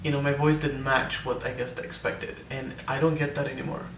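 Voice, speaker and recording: A man's voice: mid-range voice, little pitch movement, brisk, vocal fry, echoey room, distant mic, noisy background. A young man giving a casual, hesitant personal update, full of ums and restarts, his voice sometimes cracking with air breaking through. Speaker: vlogger.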